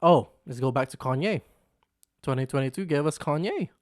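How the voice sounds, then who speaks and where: A man's voice: on the high side, lively intonation, normal speed. A young man from the Philippines, raised in London from his teens, hosting a casual solo vlog, rambling and repeating himself. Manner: rambling, repeats himself, frequent "you know what I'm saying". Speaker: vlog host. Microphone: camera microphone.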